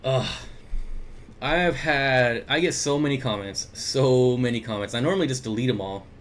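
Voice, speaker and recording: A man's voice: medium pitch, moderate pace. A man hosting an aquarium livestream, chatting casually and reading viewer comments aloud with jokey asides. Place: home room with aquariums. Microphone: desk mic at computer.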